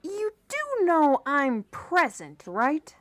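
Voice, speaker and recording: A woman's voice: high-pitched, huge pitch swings, drawn-out words, dry recording, clean studio sound, exaggerated voice. A woman acting an outspoken, resentful woman in a fantasy audio drama, speaking in preachy speeches. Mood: indignant.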